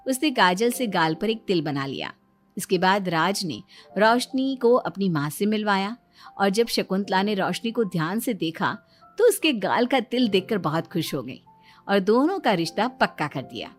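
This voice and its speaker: A woman's voice: medium pitch, expressive, normal speed, faint music behind. A woman telling a scripted moral story as its narrator.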